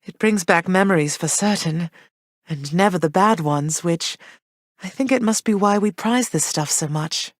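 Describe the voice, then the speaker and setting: Woman voicing a soft motherly underworld queen goddess, lowish voice, gracious and reassuring, dry studio sound. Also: normal speed, no echo, close to an everyday voice. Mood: gentle. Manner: warm, gracious, softly reassuring. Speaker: voice actor. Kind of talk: video game voice lines.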